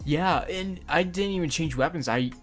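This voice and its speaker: Animated young man, music playing behind him. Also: fairly high pitch, moderate pace. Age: young adult. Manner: animated, laughing, informal with swearing. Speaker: podcast co-host and hobby voice actor. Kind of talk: casual podcast banter.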